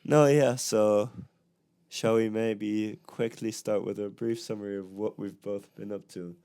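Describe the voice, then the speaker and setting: A young man chatting casually as a podcast co-host, hoarse as he gets over a cold, with frequent fillers and laughs. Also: on the low side, fairly even intonation, unhurried, mellow. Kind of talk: casual chat.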